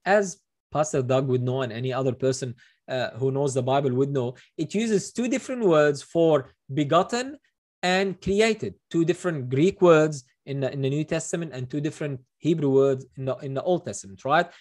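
A man's voice: on the high side, normal speed, a little mellow. Emphatic middle-aged man posing questions. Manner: emphatic, building points with rhetorical questions. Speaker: host. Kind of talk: explaining scripture on a video call. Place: small room, indoors. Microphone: webcam on video call.

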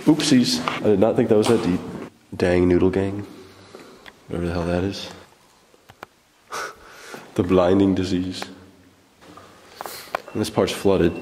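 A young man casually narrating as he explores an abandoned mine, joking and excited. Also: sits low, slight background noise. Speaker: vlogger.

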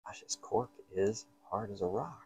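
Male hobby repair host: lowish, soft-edged mellow voice, informal, talking to himself at the workbench. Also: lively intonation, normal speed, vocal fry. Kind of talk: casual hands-on repair narration. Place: indoor workshop at a workbench.

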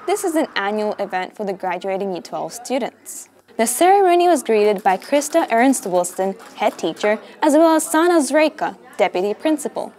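A young woman reporter, a native Russian speaker, presenting a report and interviewing people with clear, upbeat questions. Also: a little high, big pitch swings, normal speed, a little mellow, slight background noise. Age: young adult. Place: classroom indoors. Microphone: handheld mic.

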